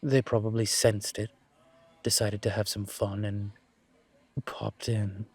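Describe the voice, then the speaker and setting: A man playing a calm, courteous incubus professor and investigator in an intimate audio roleplay, speaking considerately with careful pauses. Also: lowish voice, breathy edge, heavily acted. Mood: explanatory. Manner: measured, considerate, hesitant pauses. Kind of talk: scripted audio roleplay, intimate one-sided conversation.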